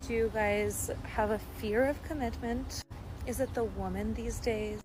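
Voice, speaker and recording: A woman's voice: fairly high pitch, a little slow, background noise. A woman in a car asking a string of rhetorical questions to the camera.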